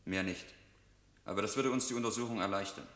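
An actor playing a polite, businesslike police inspector in a German radio drama, speaking in a matter-of-fact way. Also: male voice, fairly low pitch, fast, lightly acted. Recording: roomy echo, quiet and distant.

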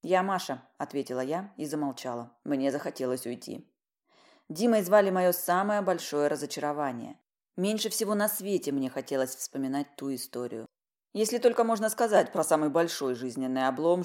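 Audiobook narrator, female, low register, expressive first-person storytelling with a wry, ironic edge. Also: moderate pace.

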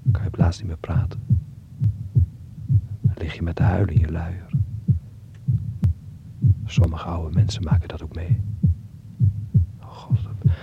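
A man acting a sick, frightened, earnest teenage boy in a radio play, speaking in a halting, intimate, creaky half-whisper. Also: low-pitched, level tone, slight background noise. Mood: frightened, pleading. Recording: muffled old radio play recording.

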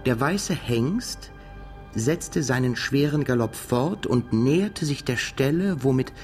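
An actor playing a brooding, haunted émigré narrator in a literary radio drama, speaking in a halting, self-correcting way. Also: male voice, medium pitch, animated, darkish tone, soft background music. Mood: absorbed, tense. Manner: halting, self-correcting while translating aloud from English. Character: brooding Russian émigré man, narrator haunted by a past shooting.

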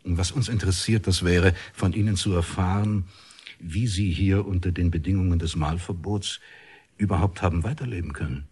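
An actor playing an urbane state commissioner, formal and courteous, interpreting in a radio drama. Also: male voice, deep voice, steady tone, moderate pace, darkish tone, slightly rough. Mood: courteous, explanatory.

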